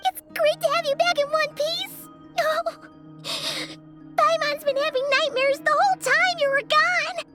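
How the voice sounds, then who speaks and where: A woman voicing a small, excitable, childlike fairy companion in an anime game, squeaky, exclamatory and cheeky. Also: measured pace. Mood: tearful joy. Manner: exclamatory, speaks of self in third person. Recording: music behind the voice.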